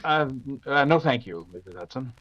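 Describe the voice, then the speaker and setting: Man playing gentleman detective, rational, self-assured, old radio drama style, medium pitch, slightly muffled. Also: lively intonation, normal speed, noisy background, close to an everyday voice. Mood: intrigued, composed. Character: confident, rational English gentleman detective, dismissive of superstition.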